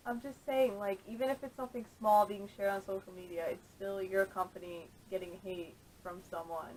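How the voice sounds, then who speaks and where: A woman's voice: medium pitch, steady tone, moderate pace, darkish tone. Woman debating over noisy camera audio. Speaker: podcast panelist. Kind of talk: casual debate.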